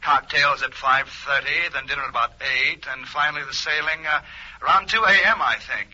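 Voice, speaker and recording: A gushing, sharp-voiced society gossip. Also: male voice, on the high side, normal speed. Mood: gushing, excited. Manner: fussy, gushing. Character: gushing, self-important society gossip writer, man. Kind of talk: old radio drama acting. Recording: muffled old radio recording.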